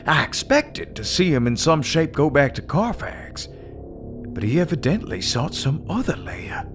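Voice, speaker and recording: Casting: laconic steely male hunter, gravelly and unhurried, grim resolve, audio fiction. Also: fairly high pitch, sing-song, over music. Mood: grim, resolute. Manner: deliberate, terse, grim.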